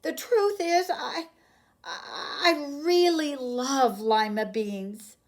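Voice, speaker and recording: A woman's voice: sits high, drawn-out words, voice pushed up, heavily acted. A woman voicing a self-conscious young schoolgirl in a children's storybook, dramatic and emphatic. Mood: excited, earnest. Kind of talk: children's storybook read-aloud.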